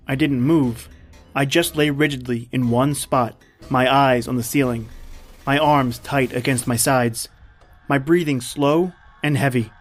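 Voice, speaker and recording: A man playing a principled, wary hunter in an old-time radio horror drama, speaking with grave, dramatic earnestness. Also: mid-range voice. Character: principled male hunter, wary and appalled guest. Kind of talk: old-time radio drama acting with first-person narration.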